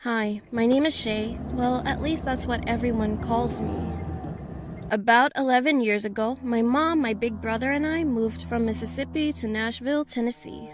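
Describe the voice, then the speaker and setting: A woman's voice: fairly high pitch, moderate pace. A woman narrating a scary story in the first person. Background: prominent background music.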